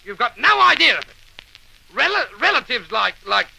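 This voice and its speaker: An actor playing an exasperated, blustering Australian schoolteacher who stammers and scolds, in an old radio comedy. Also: male voice, very high voice, big pitch swings, moderate pace, vocal fry, pushed and bright, husky, full character voice, rough recording. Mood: exasperated, spluttering. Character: exasperated, blustering male schoolteacher bossing silly pupils.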